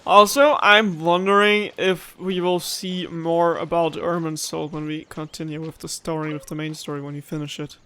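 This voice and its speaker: A man's voice: fairly high pitch, level tone, moderate pace, hardly any pauses, bright, forceful tone, slight background noise. A man giving casual let's play commentary as a gaming streamer.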